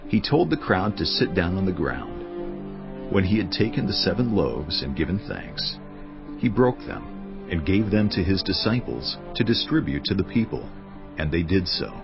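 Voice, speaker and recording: A man's voice: deep voice, big pitch swings, moderate pace, gravelly, lo-fi sound, over music. A man giving scripted narration for a dramatized audio Bible.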